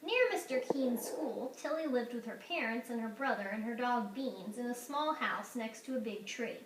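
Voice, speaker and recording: A woman reading a children's story aloud with expressive narration. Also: a little high, moderate pace. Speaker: storybook reader. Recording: echoey, distant, faint room noise.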